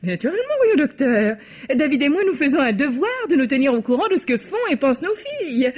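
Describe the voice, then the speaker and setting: A woman's voice: high-pitched, sing-song, fast talker, darkish tone. An actress playing a fussy, chattering middle-aged society mother who talks in an affected, gushing way, laughing as she speaks, in an old radio play. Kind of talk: 1950s radio drama acting. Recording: dull old radio recording.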